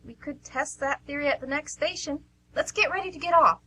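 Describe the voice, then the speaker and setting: Woman acting high, sharp, teasing friend. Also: brisk, rough recording. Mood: anxious, practical. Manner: dry, teasing. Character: Tina, dry, teasing, pragmatic female friend. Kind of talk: amateur radio drama acting.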